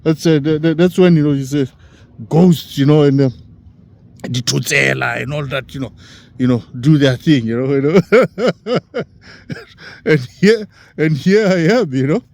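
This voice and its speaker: A man in his sixties from Africa, talking in a halting, rambling way, full of "you know" fillers. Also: fairly high pitch, creaky, darkish tone. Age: 60s. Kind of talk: casual rambling monologue.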